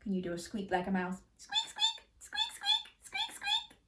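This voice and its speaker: Woman storyteller for kids, lively pitch, roomy echoey recording. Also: a little slow, heavy background noise. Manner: playful, interactive, animated. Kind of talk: bedtime story reading for children. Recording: roomy echo.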